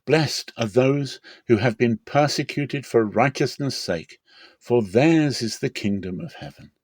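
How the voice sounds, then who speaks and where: A man giving a dramatic scripture reading in a solemn, deliberate and emphatic way. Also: lowish voice, no echo, studio quality. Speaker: Bible reader.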